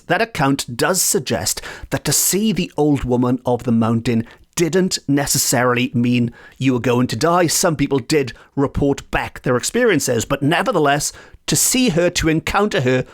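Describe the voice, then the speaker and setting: Lively man telling folklore. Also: mid-range voice. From Wales. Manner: lively, playful storyteller who stretches out key words. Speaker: podcast host. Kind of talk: folklore storytelling podcast. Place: home in a residential area.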